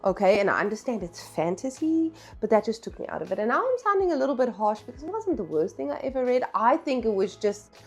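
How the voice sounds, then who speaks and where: A woman's voice: on the high side, big pitch swings, fast talker, hardly any pauses, husky. Candid, chatty woman over music. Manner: candid, opinionated, slangy and emphatic. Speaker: book reviewer, vlog host. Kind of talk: casual, chatty book review vlog.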